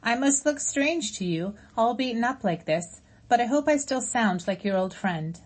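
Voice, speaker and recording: A woman reading a gentle, wounded female robot in a storybook, measured and earnest in manner. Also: on the high side, animated, breathy edge, voice pushed up. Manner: measured, earnest, plainly spoken. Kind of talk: audiobook character reading.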